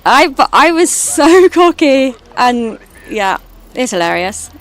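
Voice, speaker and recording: A British woman with a high voice, streaming and chatting casually while gaming, rambling and swearing now and then. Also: sing-song, slow. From Britain.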